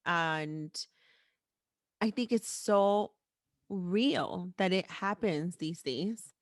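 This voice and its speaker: A woman's voice: fairly low pitch, extremely slow, dry recording, clean studio sound. A woman hosting a podcast in a frank, informal, unscripted way, repeating phrases for emphasis. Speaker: podcast host. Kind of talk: candid, unscripted podcast conversation.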